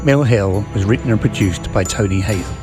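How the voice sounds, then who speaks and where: A man delivers a scripted credits announcement. His voice sits fairly low, with clear tinkling music playing behind it. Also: brisk.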